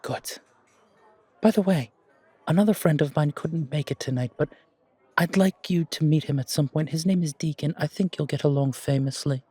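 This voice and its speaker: A man voicing a gracious, well-mannered gentleman with polite, formal phrasing in an audio roleplay. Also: fairly high pitch, normal speed, slightly rough, no room sound, voice pushed up. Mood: gracious, warm.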